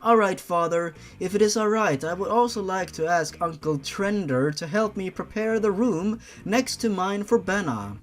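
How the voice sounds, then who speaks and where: A man's voice: sits high, expressive, moderate pace, hardly any pauses, soft background music, voice pushed up. A man voicing a dutiful, caring sister in a read-aloud story, formal and earnest. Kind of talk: story reading in character.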